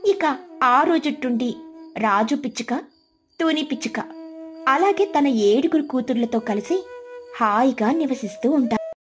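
A woman's voice: high voice, animated, unhurried, long pauses, soft background music. A woman reading scripted narration for a children's story as its narrator.

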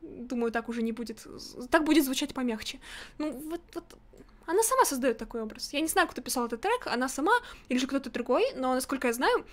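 Young female streamer, high voice, rapid-fire blunt opinions, close studio mic at home. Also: animated. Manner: blunt, opinionated, quick to nitpick. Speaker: streamer reacting to a music video. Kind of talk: casual critical commentary. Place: home streaming room, indoors. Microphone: large studio mic in front, headset on.

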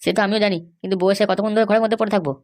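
A woman's voice: medium pitch, steady tone, very fast, darkish tone, natural voice. A woman voicing a defiant, hot-headed young married man in a comic cartoon, blustering and argumentative. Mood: protesting.

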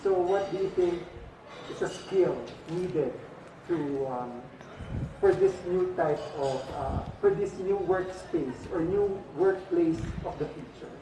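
A man's voice: sits high, slow. A man interviewer asking a question hesitantly, picked up from a distance with echo and noise around him. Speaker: pageant interviewer. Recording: distant, echoey, noisy veranda pickup.

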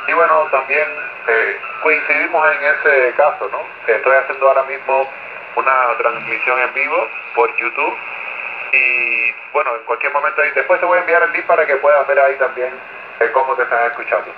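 A man comes through a radio, narrow and echoing, with clear noise around the voice. His pitch is average. He talks courteously, trading call signs and reports as an amateur operator. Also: a little fast. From Chile. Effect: radio transmission. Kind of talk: casual ham radio contact with call signs. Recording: noisy, narrow, echoing shortwave radio reception.

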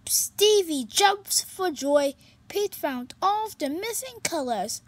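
A storyteller reading a children's picture book aloud in an expressive, animated way. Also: extremely high pitch, takes it slowly, no echo. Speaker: storybook reader.

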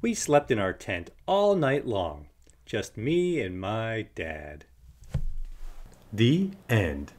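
A man narrating a picture book aloud for young children in an expressive storytelling manner. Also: on the low side, drawn-out words.

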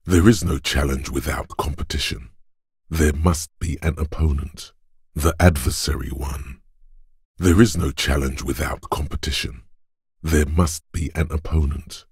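An actor voicing a gruff, macho inner voice of physical strength for a video game, like a drill coach, blunt, taunting and commanding, with a gravelly, husky tone. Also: male voice, very deep voice, steady tone, a little slow, darkish tone, dry recording, studio quality, exaggerated voice. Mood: scornful, urging.

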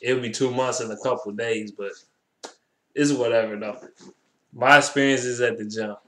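A young American man talks casually to a webcam in an echoey room. His voice sits at an average pitch. He speaks in loose slang with plenty of swearing and circles back to repeat his points. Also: steady tone, normal speed, fairly bright tone, a little hiss or hum. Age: 20s. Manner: slangy, heavily profane, repeats himself. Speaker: vlogger. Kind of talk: casual chat to camera. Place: small bare indoor room. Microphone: webcam at arm's length.

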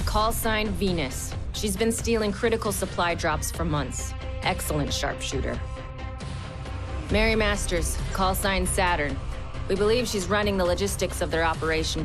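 Casting: woman as game intel officer, low register, clipped and matter-of-fact briefing, score underneath. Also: natural voice. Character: terse military intel officer briefing agents, female. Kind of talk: scripted mission briefing narration. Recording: music behind the voice.

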